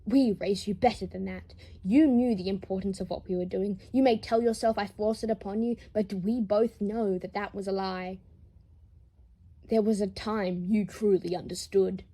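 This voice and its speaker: Haughty older male aristocrat, read by a woman, cold, scornful and formal, mellow tone, for dramatic fan fiction. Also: mid-range voice, fairly even intonation, normal speed, lightly acted. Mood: cold, insistent.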